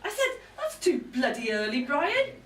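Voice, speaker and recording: A woman's voice: sits high, wildly swooping pitch, unhurried, heavily acted. A woman playing an irritable, aggrieved middle-aged wife in a live comic monologue, complaining indignantly. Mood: irritated, snappish. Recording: roomy live event, distant mic.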